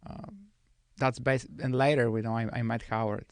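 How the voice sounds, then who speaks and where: Man, from Bulgaria, thirties, gravelly, measured, podcast guest, dry studio. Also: medium pitch, fairly even intonation, no room sound. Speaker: guest trader. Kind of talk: podcast interview.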